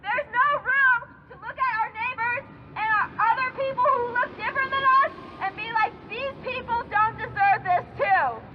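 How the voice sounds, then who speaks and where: A woman's voice: extremely high pitch, moderate pace. A woman emceeing an outdoor rally, with a rousing, punchy, chant-like delivery. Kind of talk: fiery rally speech and introductions. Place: outdoor city street rally. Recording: muffled outdoor recording with crowd and traffic.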